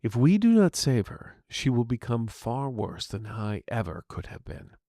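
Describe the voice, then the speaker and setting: A man voicing an aging wizard villain in a fantasy story, speaking formally with proud, measured scorn. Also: mid-range voice, big pitch swings, mellow, dry recording, studio quality. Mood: grave.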